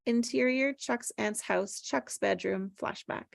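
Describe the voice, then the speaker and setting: A woman's voice: mid-range voice, slow pace, creaky, no room sound, clean studio sound. A woman reading screenplay stage directions as plain, descriptive narration at a table read.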